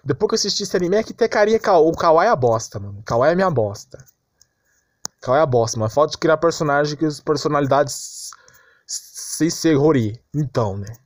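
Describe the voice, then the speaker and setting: A Brazilian man in an informal commentary rant, with lively, swinging intonation. He sounds exasperated, repeats himself and leans on street slang. The sound is close and dry. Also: on the high side, fairly quick, no echo. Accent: Brazilian Portuguese. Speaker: anime commentary YouTuber.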